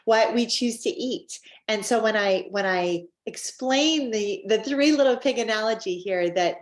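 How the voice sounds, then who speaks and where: Upbeat Canadian woman in an echoey room. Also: mid-range voice. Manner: upbeat, chatty, with frequent fillers. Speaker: wellness presenter. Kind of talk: scripted-feeling sales presentation. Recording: roomy echo.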